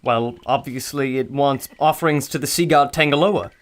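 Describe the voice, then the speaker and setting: A man's voice: medium pitch, moderate pace, close to an everyday voice. An actor playing an earnest male scholar and translator held captive, in an old-time radio drama. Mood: curious.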